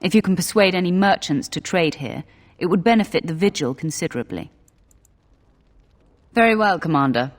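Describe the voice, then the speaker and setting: Higher-voiced trade advisor. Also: male voice, animated, moderate pace. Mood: businesslike. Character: advisor on trade, polite and businesslike. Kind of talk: scripted fantasy game dialogue. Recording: long hall reverb.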